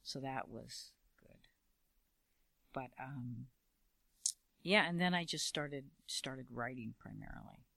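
A woman interview guest talking casually about her film work, relaxed and wry, her phrases trailing off. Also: extremely low pitch, takes it slowly, gravelly, pauses often, no room sound.

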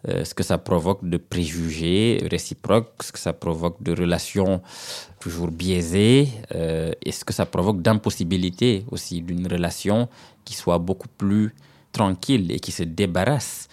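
A man in his thirties from Senegal, whose first language is Serer, answering interview questions in a measured, hesitant way with frequent fillers and restarts. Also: deep voice, steady tone, a little mellow. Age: 30s. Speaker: guest author.

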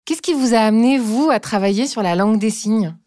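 A woman hosting a podcast, reading a scripted introduction and asking calm, even interview questions. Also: on the high side, brisk, dry recording, clean studio sound. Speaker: podcast host.

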